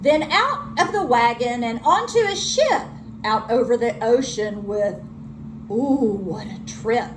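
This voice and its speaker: A woman narrating a children's storybook aloud in an expressive teacher's read-aloud style. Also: fairly high pitch, slow, noisy background. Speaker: elementary school teacher reading aloud.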